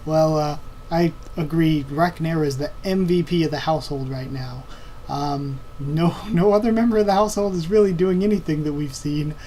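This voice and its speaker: A man hosting a casual online Q&A, talking informally and haltingly as he thinks aloud, his voice a little strained and tiring. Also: a little high, warm tone, a little hiss or hum, low-quality recording. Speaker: online video host. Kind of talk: casual Q&A commentary on anime and comics.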